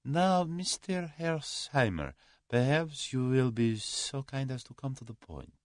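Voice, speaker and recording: A man voicing a cowardly, haughty aristocrat in an audiobook story, formal and halting. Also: lively intonation, no room sound, studio quality, lightly acted. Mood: guarded, courteous. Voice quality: soft-spoken, high when agitated.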